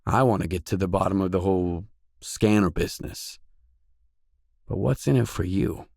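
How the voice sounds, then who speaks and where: An actor playing a guarded, weary mercenary in a video game, speaking in blunt, matter-of-fact lines. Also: male voice, low voice, moderate pace, gravelly, darkish tone, dry recording, clean studio sound. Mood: businesslike.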